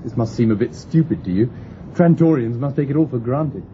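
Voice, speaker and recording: An actor playing a polite, cautious young mathematician with a British accent, formal and hesitant, in a radio drama. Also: male voice, medium pitch, expressive, brisk, dark tone, lightly acted. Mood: polite, unsure. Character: earnest, polite young male mathematician newly arrived, cautious. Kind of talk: BBC radio drama acting. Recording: phone-like lo-fi radio recording, clear hiss.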